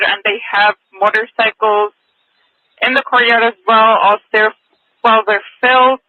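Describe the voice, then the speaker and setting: High-voiced male dispatcher over tinny radio. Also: no echo. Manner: clipped, routine dispatch phrasing. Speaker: police dispatcher. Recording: tinny police radio transmission.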